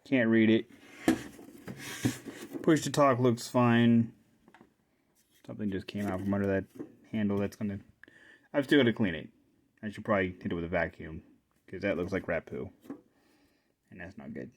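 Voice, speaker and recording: A man's voice: fairly low pitch, slight background noise. Casual man thinking aloud while tinkering. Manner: informal, thinking aloud in short halting phrases. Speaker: hobbyist repairer presenting his own video. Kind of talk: casual hands-on show-and-tell commentary.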